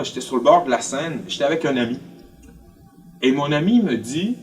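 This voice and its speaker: A man's voice: a little high, far from the mic. A man with a Quebec French accent telling personal stories in an interview, expressively acting out remarks that he quotes. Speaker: documentary interviewee. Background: faint music.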